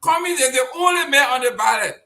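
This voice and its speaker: High bright-voiced man in his sixties. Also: expressive, fast talker, creaky. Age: 60s. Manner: laughing, teasing questions. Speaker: show host. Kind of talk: political talk show. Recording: dull lo-fi audio.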